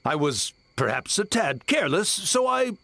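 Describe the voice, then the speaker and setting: An actor playing a cheerful, boastful old raccoon-dog spirit in a video game, theatrical and evasive in manner. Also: male voice, sits high, very animated, normal speed, pushed and bright, no room sound, full character voice. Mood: defensive, boastful. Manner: blustering, theatrical, wheedling. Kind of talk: game voice acting.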